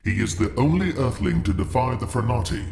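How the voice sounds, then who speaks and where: An actor playing a cold, formal alien overlord in an audio drama, with a gravelly voice and deliberate delivery. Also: male voice, mid-range voice, lively intonation, darkish tone. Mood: cold, measured. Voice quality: gravelly, creaky. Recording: echoey, faint background noise.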